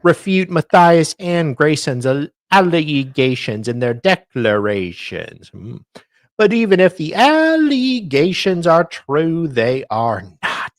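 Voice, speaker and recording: A man's voice: fairly high pitch, big pitch swings, slow pace, dry recording, studio quality. A man co-host reading formal text aloud, stumbling now and then over the words.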